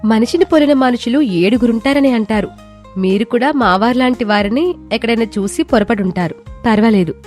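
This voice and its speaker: A woman's voice: a little high, a little fast, darkish tone, close to an everyday voice. Woman playing a polite practical young wife in a moral story, faint music. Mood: polite, reasoning. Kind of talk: scripted story dialogue.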